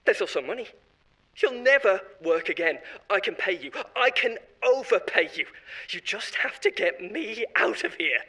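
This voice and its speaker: A frantic, blame-dodging company marketer pleads and justifies himself in a horror audio drama, voiced by a man. His voice sits high and bright and swings widely in pitch. He whines defensively and laughs nervously. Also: big pitch swings, normal speed, heavily acted, low-quality recording. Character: panicked self-serving male corporate marketer, blame-shifting. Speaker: voice actor.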